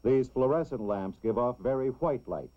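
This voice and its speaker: A man narrates a scripted educational film for children in a measured, clearly explanatory way. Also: mid-range voice, animated. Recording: dull, lo-fi old film soundtrack.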